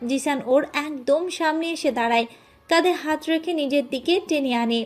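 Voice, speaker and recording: A woman's voice: high-pitched, normal speed. A woman narrating a scripted romantic story as its storyteller.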